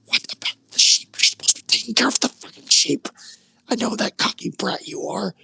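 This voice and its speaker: A man's voice: sits high, animated, a little fast, gravelly, pushed and bright, husky, pitched above the performer's own voice, exaggerated voice. A man voicing an angry young soldier who scolds and swears at his younger brother.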